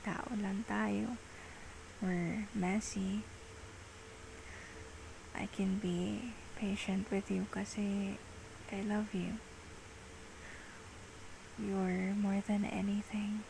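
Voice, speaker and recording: Woman playing a patient loving girlfriend, Filipino accent, soft whispery ASMR voice, creaky edges, very unhurried, faint hiss. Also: mid-range voice, little pitch movement. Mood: loving, earnest. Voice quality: soft, breathy, often slipping into whispers. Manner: slow, tender, mixes Tagalog with English. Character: affectionate, patient, reassuring young girlfriend soothing a partner. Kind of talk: girlfriend ASMR roleplay, soft intimate speech.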